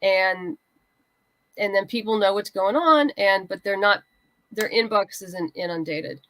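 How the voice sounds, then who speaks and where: A woman speaks at a middle pitch in a very dry, close recording. She talks like a guest in a casual interview, offering practical, down-to-earth advice in a conversational manner. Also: a little slow, a bit bright, no room sound. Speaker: guest writer and comics creator.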